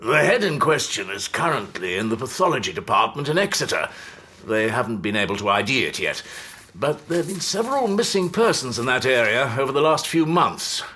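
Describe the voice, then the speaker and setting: An actor playing a genial police chief, urbane, droll and needling, in an audio drama. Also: male voice, medium pitch, very animated, fairly quick, sharp tone. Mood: briefing. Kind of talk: full-cast audio drama acting.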